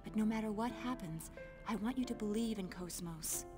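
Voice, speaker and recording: An earnest young woman from a sci-fi game, played by an actress. Her voice sits at an ordinary female pitch and she speaks with composure, as in dubbed cutscene dialogue. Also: normal speed, over music, close to an everyday voice. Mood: earnest, reassuring. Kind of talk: English video game dub acting.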